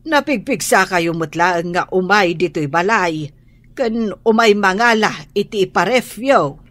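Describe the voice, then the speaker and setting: A woman's voice: mid-range voice, expressive, a little slow, fairly bright tone, dry recording. A woman playing a blunt, nosy older neighbour in an Ilocano radio drama, with an Ilocano accent. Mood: indignant.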